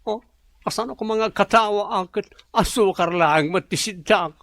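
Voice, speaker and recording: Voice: medium pitch, moderate pace, a bit bright, no room sound, lightly acted. An actor voices a campy young office pal with a mid-range, androgynous voice, recorded dry. The delivery is sassy, bantering and grumbling, in the style of an Ilocano radio comedy. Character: sassy, penny-pinching campy young coworker, broke.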